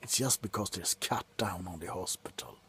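A tipsy man playing a callous, grumpy doctor in a slow, sullen ASMR roleplay, whispering sarcastically up close. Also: sits low, background noise. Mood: sarcastic, irritated. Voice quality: breathy whisper. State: drunk. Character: grumpy, callous, drunken male doctor on a house call. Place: small cluttered room indoors.

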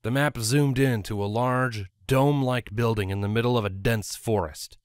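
A man's voice: mid-range voice, normal speed, clean tone, no echo, clean studio sound. A man reading scripted story narration as an audiobook narrator.

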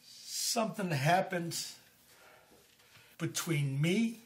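Earnest older American man, unhurried. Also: fairly high pitch, lively intonation. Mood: hesitant, confessing. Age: 60s. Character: earnest, guilt-ridden older man, loyal old friend. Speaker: actor. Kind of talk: naturalistic film dialogue.

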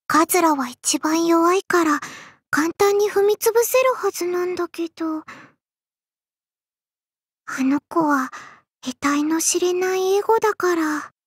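A woman's voice: extremely high pitch, a little slow, long pauses, no room sound, clean studio sound. A woman voicing an innocent, childlike giant girl in a game, with a simple, wondering manner. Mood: puzzled, wary. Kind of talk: Japanese game character voice lines.

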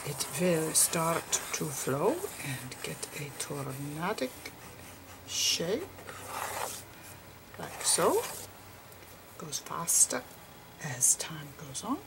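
A woman voicing an eccentric, digressive physicist professor in a whispery, halting ASMR roleplay lecture. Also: low-pitched, background noise, exaggerated voice. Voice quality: whispery, breathy. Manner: halting, pedantic, rambling.

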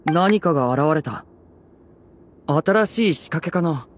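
An actress playing a calm, alert young adventurer with a cool, understated manner in an anime game. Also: female voice, low-pitched, slow pace, background noise. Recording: game music behind.

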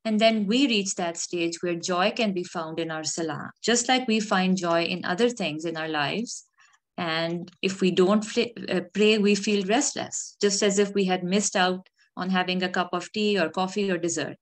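Calm earnest woman giving a sermon. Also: on the low side, normal speed. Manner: calm, earnest and gently reflective. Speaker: khutbah speaker. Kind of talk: measured sermon.

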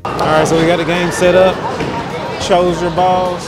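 Playful man, loud music behind him. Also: sits high, little pitch movement, moderate pace, over a noisy crowd. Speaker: vlogger. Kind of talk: casual chat and commentary. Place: large busy indoor bowling alley. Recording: loud music behind, busy bowling alley.

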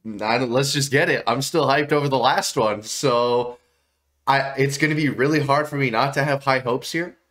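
A young man with a stuffy, nasal voice from a cold, giving excitable, swear-heavy commentary while reacting to songs in a small home room. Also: medium pitch, moderate pace. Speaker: music reaction streamer. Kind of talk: casual music reaction commentary.

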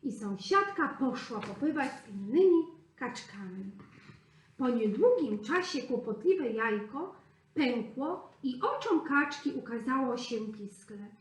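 A woman reading a fairy tale aloud to preschool children in an expressive, sing-song way. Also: sits high, measured pace. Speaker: storyteller at a preschool. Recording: echoey room.

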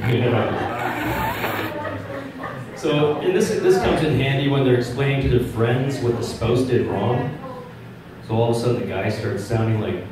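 A man's voice: mid-range voice, a little slow, long pauses, distant mic. Man doing stand-up in a roomy pub. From Czechoslovakia. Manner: conversational, crude, asks the crowd "right?". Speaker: stand-up comedian. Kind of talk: stand-up set. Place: small pub comedy stage with audience. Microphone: handheld mic, filmed from far back. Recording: roomy pub sound from afar. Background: loud crowd laughter.

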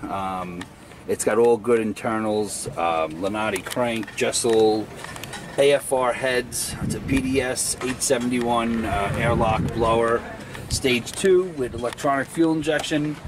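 A man, a car owner talking casually about his car at an outdoor show and listing parts matter-of-factly. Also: mid-range voice. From Long Island, New York. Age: 40s. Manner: rattles off technical parts lists matter-of-factly. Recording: outdoor noise behind.

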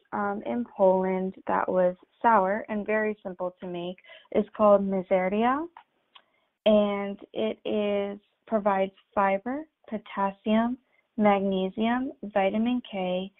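A woman's voice: mid-range voice, gravelly. A young woman, a midwifery student, giving an earnest recorded class presentation with frequent ums. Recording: tinny phone-like audio.

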